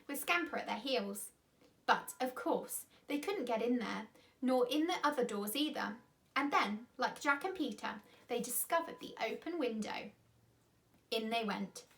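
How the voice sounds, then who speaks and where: A woman's voice: fairly high pitch, a bit bright. A woman reading a children's story aloud expressively, with dramatic emphasis. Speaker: storyteller, school reader.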